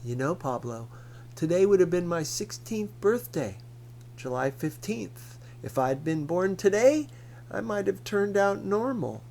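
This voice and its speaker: A man voicing a gentle, self-deprecating teenage girl in an audiobook, talking in a soft, coaxing, conversational way. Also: sits high, big pitch swings, measured pace, creaky, voice pushed up, exaggerated voice. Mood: wistful. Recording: faintly noisy recording with hum.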